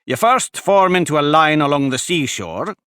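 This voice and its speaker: A man voicing a bossy, boisterous male griffin who speaks loudly and decidedly. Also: a little high, animated, normal speed, clear, resonant tone, dry recording, studio quality, pitched above the performer's own voice. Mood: brisk. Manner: loud, decided and blustering.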